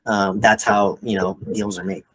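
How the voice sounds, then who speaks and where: A man's voice: fairly low pitch, level tone, normal speed, crowd noise, rough recording. Man coaching in casual conversation. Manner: conversational, peppers talk with "like" and "right?". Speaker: real estate mentor and coach. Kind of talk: casual one-on-one coaching call.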